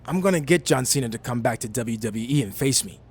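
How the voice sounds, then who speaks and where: An actor playing an ambitious, determined champion wrestler in scripted game dialogue, earnest and persistent. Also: male voice, medium pitch, expressive, a little fast, husky.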